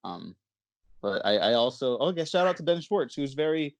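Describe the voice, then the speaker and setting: A man hosts a movie podcast in a close, dry recording with an ordinary mid-range pitch. He is chatty and enthusiastic, rambling through his thoughts in an informal way. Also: big pitch swings, moderate pace, no echo. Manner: chatty, rambling, enthusiastic, chuckles while talking. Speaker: podcast host. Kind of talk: casual movie podcast chat.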